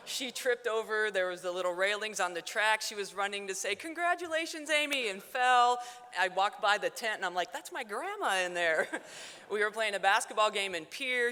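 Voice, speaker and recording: A young woman with a mixed South Dakota and Texas accent gives a loud, fast, joking acceptance speech at a banquet. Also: medium pitch, smooth. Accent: mix of South Dakota and Texas. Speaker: hall of fame inductee giving acceptance speech. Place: banquet hall. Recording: hall reverb.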